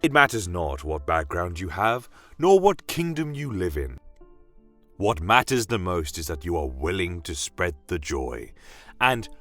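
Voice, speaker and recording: A man's voice: sits low, a bit bright, heavily acted. A man playing a genial fantasy doctor and alchemist, telling tales of his world in a formal, florid, theatrical way. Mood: warm, festive. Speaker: in-character narrator. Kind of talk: scripted in-character fantasy storytelling.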